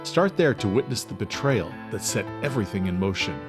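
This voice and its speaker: A man reading scripted thriller narration in a measured, deliberate, dramatic storytelling style. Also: fairly low pitch, very animated, over music. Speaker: author and audiobook narrator.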